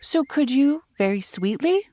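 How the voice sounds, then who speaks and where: Woman as an eager, high-pitched little boy. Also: expressive, a little slow, warm tone, no echo. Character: eager, sweetly coaxing young boy.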